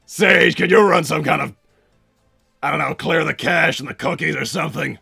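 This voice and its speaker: A man voicing a bombastic middle-aged mad-scientist villain and doting father, with a raspy, gravelly voice and a theatrical, blustering manner, improvising on a livestream. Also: fairly high pitch, normal speed, pushed and bright, soft background music, heavily acted. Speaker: livestream host in character. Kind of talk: improvised in-character livestream Q&A, reading out viewer messages.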